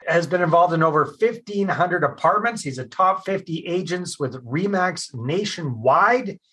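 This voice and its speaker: A man's voice: high-pitched, animated, normal speed, pushed and bright, breathy edge. A man hosting a podcast interview, with an upbeat, praising and casual way of asking questions. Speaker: podcast host.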